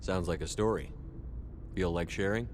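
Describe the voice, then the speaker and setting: Game lead: human commander, male actor, lowish voice, calm and courteous, measured questions over a faint rumble. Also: lightly acted. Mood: curious, friendly. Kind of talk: video game dialogue acting.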